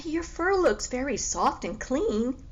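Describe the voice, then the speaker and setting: An eager young girl pig from a storybook, played by a woman reading aloud. She is courteous, curious and earnest, with lively, varied pitch. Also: slow pace, highs cut off, heavily acted. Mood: coaxing. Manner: earnest, well-mannered.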